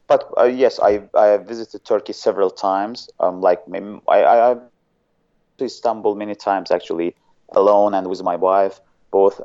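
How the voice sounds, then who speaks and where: Deep-voiced man with an Azerbaijani accent. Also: a little mellow, dull audio. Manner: hesitant, often searching for words. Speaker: guest. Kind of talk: casual interview chat.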